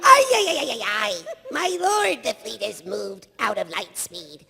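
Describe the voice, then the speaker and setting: A woman's voice: on the high side, very animated, unhurried, gravelly, noisy background, heavily acted. A woman voicing an anxious, yelping cartoon general reporting to a superior in a comic live reading. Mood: fretful. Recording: echoey convention hall.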